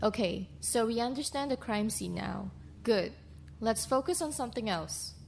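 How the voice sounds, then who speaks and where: A brisk, level-headed young woman, played by a woman, with a fairly high voice, taking charge like a cartoon investigator. Faint noise runs behind her. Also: animated, gravelly. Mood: firm. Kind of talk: animated murder-mystery voice acting.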